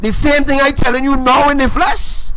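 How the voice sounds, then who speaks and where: A man with a very high voice delivers a live-streamed sermon straight to camera. He is emphatic and repetitive, driving each phrase home again and again and calling his viewers folks. A faint background noise sits under his voice. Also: level tone, moderate pace. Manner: addresses viewers directly as folks. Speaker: self-styled preacher.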